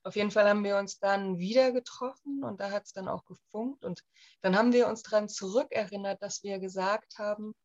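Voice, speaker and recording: A woman in her thirties from Germany chats as an interview guest, her speech loose and full of fillers and restarts. Her voice sits at a middle pitch. The recording sounds dull and somewhat lo-fi. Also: steady tone, brisk, a little mellow. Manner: chatty, with many fillers and restarts. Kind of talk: casual conversational interview.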